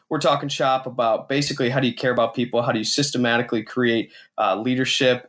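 A man's voice: medium pitch, a bit bright. A man hosting a podcast in a casual, effusive, enthusiastic way. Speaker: podcast host. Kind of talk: podcast interview, casual introduction.

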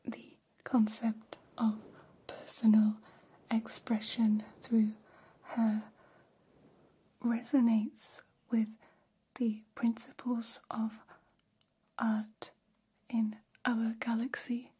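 A woman voicing a gentle, curious humanoid alien in whispered ASMR roleplay, speaking in soothing, stilted, formal phrases. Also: on the high side, little pitch movement, very slow, exaggerated voice. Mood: awed, gentle. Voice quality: breathy whisper.

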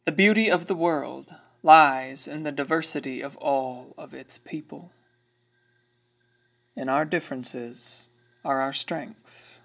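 A folksy storyteller who is fond of his town, narrating a radio play. His voice sits a little high for a man and has a gravelly creak. He muses aloud in a conversational way. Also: a bit bright, full character voice. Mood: reflective. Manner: musing, conversational, philosophical.

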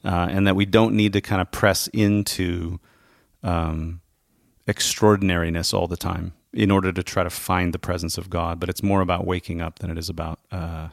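A man hosting a podcast in casual conversation, talking informally and haltingly with frequent short asides. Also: sits low, little pitch movement, vocal fry, dry recording, studio quality. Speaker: podcast host.

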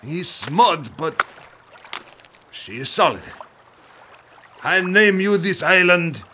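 Male sailor, radio drama: unhurried, very expressive, halting English, noisy sea behind. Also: high voice, heavily acted. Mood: earnest. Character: foreign sailor, awed, speaking halting English. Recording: clear sea noise, distant.

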